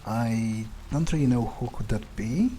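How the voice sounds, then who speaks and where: A man's voice: mid-range voice, unhurried, warm tone, a little hiss or hum. A man doing an in-character game playthrough as a jaded, cocky vampire, thinking aloud in a hesitant, muttering, sweary way. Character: jaded, cocky vampire outcast, musing to himself. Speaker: video game player speaking in character.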